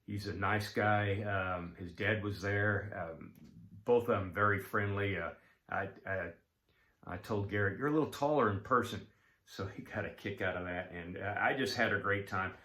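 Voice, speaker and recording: A man's voice: lowish voice, normal speed, bright, forceful tone, roomy sound. A man, talking casually about collecting and filling his talk with ums and uhs. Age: 70s. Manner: rambling, frequent ums and uhs, folksy. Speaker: card collecting vlogger. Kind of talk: casual chat.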